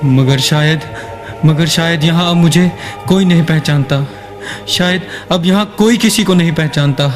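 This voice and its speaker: Man playing a high-pitched, stammering, tormented lost soul. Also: measured pace, over music, lightly acted. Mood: anguished, bewildered. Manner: stammering, repeats himself pleadingly. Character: ragged lost man, tormented living corpse seeking his identity. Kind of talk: theatrical Hindi radio drama acting.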